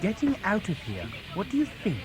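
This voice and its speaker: A man's voice: high voice, wildly swooping pitch, brisk, background noise. A man playing a pompous, indignant passenger in an audio drama, huffy and formal in his complaints.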